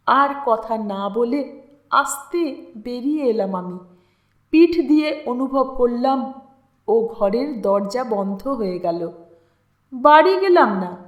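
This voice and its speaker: A woman narrating a literary story in an expressive, deliberate, dramatic way with lingering pauses. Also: on the high side. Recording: roomy, echoing sound.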